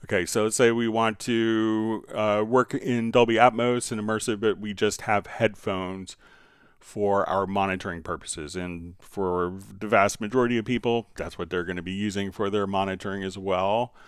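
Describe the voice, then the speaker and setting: Male livestream software instructor, lowish resonant voice, informal halting explanations, dry close sound. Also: fairly even intonation, clean tone, no room sound. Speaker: host answering viewer questions on a software livestream. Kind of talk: informal tutorial, explaining step by step.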